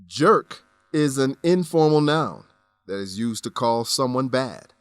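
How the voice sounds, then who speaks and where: A man's voice: mid-range voice, lively intonation, dry recording. Instructional man, very dry studio sound. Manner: deliberate, instructional. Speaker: English lesson presenter. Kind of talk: scripted educational narration.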